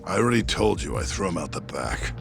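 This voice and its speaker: A man's voice: low-pitched, very animated, fairly quick, vocal fry, music in the background, full character voice. A man playing a hot-tempered, defiant yakuza in a game cutscene, blunt, sneering and evasive. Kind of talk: video game cutscene acting.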